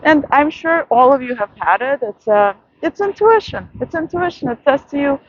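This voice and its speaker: A woman vlogger giving a soft, reflective late-night monologue outdoors in a city, with many pauses and fillers. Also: high-pitched, animated, muffled recording.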